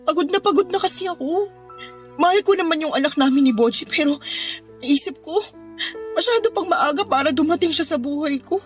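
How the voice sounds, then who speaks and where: A woman's voice: high voice, a little fast, faint music behind, close to an everyday voice. An actress in a radio drama playing a tired, tearful young mother who complains plaintively about her hard life. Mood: weary. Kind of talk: Tagalog radio drama acting.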